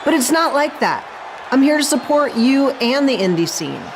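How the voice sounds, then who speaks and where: Veteran female wrestling star for a game: gracious, animated, higher-pitched, noisy background. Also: moderate pace. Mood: firm, conciliatory. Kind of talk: video game cutscene acting.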